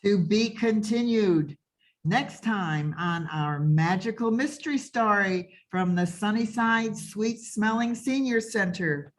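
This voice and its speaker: A woman announcing in a scripted old-time radio style. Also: mid-range voice.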